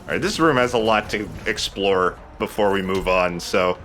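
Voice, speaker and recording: A man's voice: low voice, normal speed, fairly bright tone. A man hosting a video game let's play, chatting casually in a jokey, rambling, profane way. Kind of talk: casual gameplay commentary with co-commentary banter.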